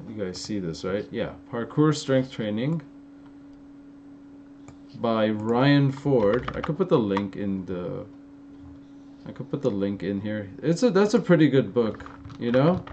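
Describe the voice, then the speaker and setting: Rambling man with an Armenian-influenced accent, mellow voice. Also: lowish voice, expressive, a little slow, pauses often. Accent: Armenian-influenced American. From Los Angeles. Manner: loose, rambling, many fillers and restarts, laughs easily. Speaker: fitness YouTuber answering live chat. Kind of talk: casual live-stream Q&A. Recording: slightly dull, lo-fi stream audio with faint noise.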